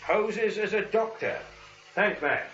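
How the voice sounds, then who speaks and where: A man's voice: high voice, slow, a bit bright. A man playing a brusque, no-nonsense police lieutenant in 1940s radio comedy, firm and businesslike. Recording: muffled vintage radio recording.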